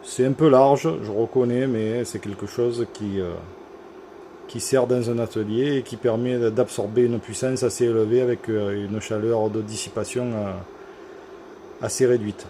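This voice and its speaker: A man giving an informal equipment demonstration as a repair technician, speaking haltingly with many hesitation sounds. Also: medium pitch, steady tone, warm tone, slight background noise. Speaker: radio repair technician presenting his work. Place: electronics workshop.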